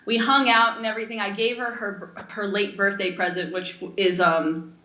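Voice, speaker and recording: A woman's voice: mid-range voice, fairly even intonation, moderate pace, pushed and bright, echoey room. A young woman chatting casually as a podcast co-host, rambling along with enthusiasm. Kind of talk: casual chat.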